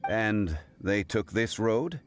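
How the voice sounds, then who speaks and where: Composed low-voiced scholarly gentleman. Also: lively intonation, soft background music. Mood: pensive. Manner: formal, measured. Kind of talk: fantasy game voice acting.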